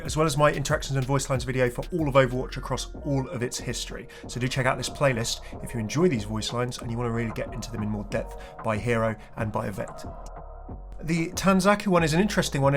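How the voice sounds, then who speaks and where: A man doing enthusiastic, wordy video commentary on game lore as a channel host. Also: mid-range voice. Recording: music behind the voice.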